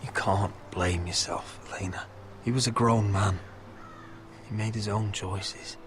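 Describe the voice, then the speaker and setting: A man playing a steady young lord and loyal friend in a fantasy video game, speaking gently and earnestly with restraint. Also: fairly low pitch, a little slow, a little hiss or hum. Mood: gentle, consoling.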